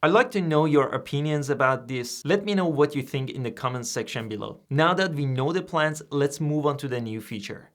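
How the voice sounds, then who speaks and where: A man whose first language is Persian, hosting a software tutorial and explaining each step in a plain, steady way. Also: a little high, brisk, hardly any pauses. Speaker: tutorial host. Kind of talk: screen-recorded software tutorial.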